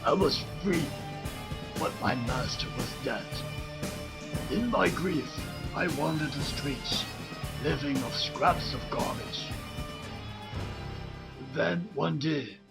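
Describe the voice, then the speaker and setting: A man voicing an aged mutant rat master, solemn and fatherly, narrating a voice comic in a creaky, measured delivery. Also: a little high, heavily acted. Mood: sorrowful, measured. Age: older. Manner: slow, measured, grave storytelling. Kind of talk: scripted narration in a fan voice comic. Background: music.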